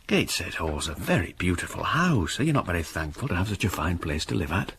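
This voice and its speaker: A kindly village apothecary asks gentle questions. His voice is extremely deep, heard in a period radio drama with faint hiss. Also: big pitch swings, fairly quick, full character voice. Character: kindly male village apothecary, middle-aged.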